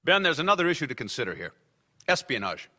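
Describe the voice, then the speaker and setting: An actor playing a cautious male lawyer for a newspaper in a radio drama, measured and precise. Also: mid-range voice, big pitch swings, pushed and bright, heavily acted. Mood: warning.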